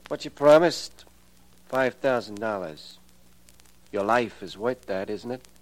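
An actor playing a shady, demanding accomplice in 1940s radio acting style. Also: male voice, fairly low pitch, expressive, slow, low-quality recording. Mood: wary, demanding. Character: shady accomplice come to collect hush money.

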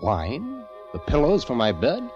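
A florid, penniless poet narrating his diary in vintage radio drama. His lowish voice swoops widely, over clear music and through dull old broadcast sound. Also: wildly swooping pitch, unhurried, darkish tone. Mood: secretive, pleased. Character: penniless, dreamy, theatrical poet in hiding. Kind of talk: 1940s radio acting, diary monologue. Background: prominent music.

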